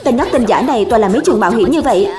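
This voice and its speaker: A woman's voice: fairly high pitch, expressive, racing, music in the background, lightly acted. Woman voicing a proud, cool young cartoon rival. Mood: coolly challenging. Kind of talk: animated cartoon dub.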